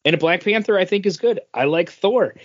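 A man's voice: sits high, normal speed, no room sound, muffled recording. A man chatting casually as a podcast co-host, giving opinions in a hesitant, rambling way with repeated words. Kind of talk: casual opinion chat about movie rankings.

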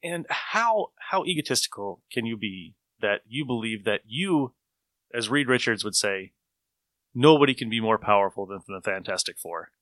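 A man's voice: fairly low pitch, animated, normal speed, a bit bright, dry recording, low-quality recording. A man hosting a podcast in casual, conversational discussion. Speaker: podcast host.

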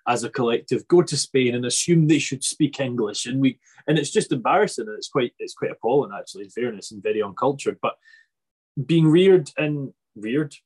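A man's voice: medium pitch, expressive, fairly quick, a little mellow, slightly rough. A man hosting a casual interview podcast in a chatty, rambling way, often breaking into his own sentences. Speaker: podcast host.

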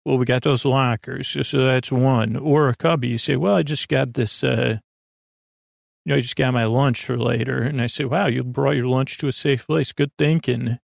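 A man hosting a bedtime podcast in a creaky, soothing voice, meandering through halting tangents and interrupting himself. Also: mid-range voice, steady tone, no room sound, studio quality. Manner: lulling, soothing. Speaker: podcast host. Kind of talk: rambling bedtime sleep-podcast monologue.

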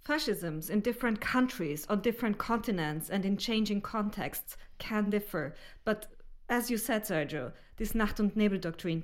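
Female co-host, mid-range voice, earnest scripted-sounding analysis. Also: medium pitch. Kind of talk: prepared analytical discussion.

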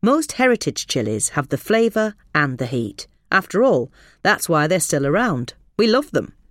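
A woman's voice: on the low side, big pitch swings, normal speed, dry recording, studio quality. A British woman narrating a scripted magazine article aloud. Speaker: article reader.

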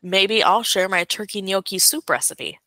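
A woman's voice: mid-range voice, animated, moderate pace, bright, forceful tone, no echo. A young woman co-hosting a podcast in casual chat, chatty and giggling at her own stories. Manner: chatty, giggly, self-amused. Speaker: podcast co-host.

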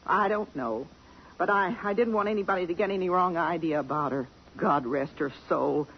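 An actress playing a blunt, defiant, fiercely loyal working-class housemaid in a 1950s radio drama. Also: female voice, on the low side, moderate pace, a little hiss or hum, close to an everyday voice. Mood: loyal, defensive. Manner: blunt, defiant, plain-spoken. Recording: muffled 1950s radio broadcast.

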